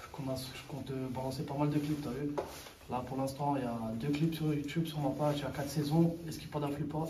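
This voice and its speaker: A young man in his twenties talks quickly in loose street slang, sprinkling filler tags through his lines. His voice sits a touch high for a man. The sound is roomy and picked up from a little way off the mic, with faint street noise behind. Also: level tone, far from the mic. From Sri Lankan heritage, raised in France. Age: 20s. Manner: fast slangy talk, full of filler tags. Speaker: rapper interviewee. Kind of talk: casual street interview. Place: outdoors in a neighborhood.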